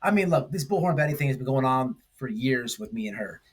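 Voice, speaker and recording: A man hosting a commentary stream, talking casually and haltingly, repeating phrases for emphasis. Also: mid-range voice, animated. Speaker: host of a livestream commentary channel. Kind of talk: casual rambling drama commentary.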